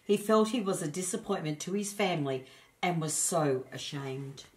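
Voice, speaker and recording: A woman narrating a children's storybook as an expressive storyteller. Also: low-pitched, moderate pace.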